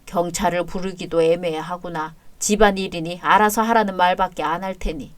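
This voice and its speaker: A woman voicing a calm, kindly older father-in-law who speaks low and gently to comfort. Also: moderate pace, dry recording, close to an everyday voice. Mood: gentle.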